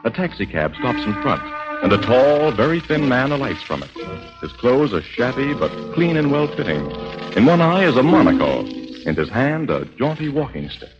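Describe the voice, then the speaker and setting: A man's voice: mid-range voice, big pitch swings, normal speed. A man working as a 1940s radio announcer, delivering formal scripted introductions and dramatic narration. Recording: dull lo-fi 1940s radio, loud music behind. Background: loud music.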